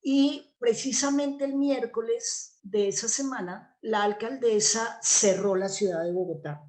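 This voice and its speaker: A woman's voice: a little high, a little slow, a little mellow. A woman answering interview questions in an explanatory, discursive way. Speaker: interview guest, theatre actress and playwright.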